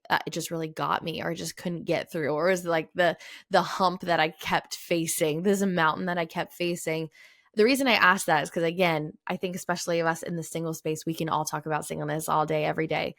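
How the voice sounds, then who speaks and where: A woman's voice: low-pitched, gravelly, a bit bright, dry recording, clean studio sound. A woman hosting a faith podcast interview, asking long, searching questions full of fillers. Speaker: podcast host. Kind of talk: conversational interview.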